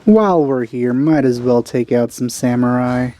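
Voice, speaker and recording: A man's voice: medium pitch, normal speed, dark tone. A man doing casual let's play commentary with dry, wry asides. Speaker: video game let's player. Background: game music.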